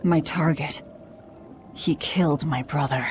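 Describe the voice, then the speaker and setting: A woman's voice: low-pitched, measured pace, darkish tone, slight background noise. An actress playing a hardened young woman bent on revenge in a fantasy game, with a terse, commanding way of talking. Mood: guarded, dry. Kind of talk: dubbed fantasy game acting.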